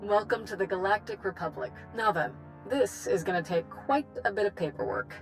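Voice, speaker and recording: An actress playing a brisk, confident political leader in a video game, businesslike yet conversational. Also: female voice, medium pitch, animated, soft background music, natural voice. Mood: confident, persuasive. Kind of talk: scripted video game acting.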